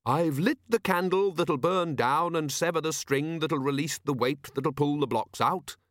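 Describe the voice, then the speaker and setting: A man voicing a gentle, absent-minded male inventor in a storybook, diplomatic and earnest, with a mild, apologetic, rambling manner. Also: on the high side, unhurried, no room sound, clean studio sound, voice pushed up. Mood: mild, matter-of-fact.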